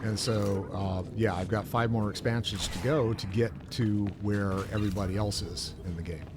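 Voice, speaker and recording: A man's voice: fairly low pitch, steady tone, normal speed, noisy background. A man co-hosting a gaming show, chatting casually as he plays in a rambling, mildly exasperated way. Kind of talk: casual chat while gaming.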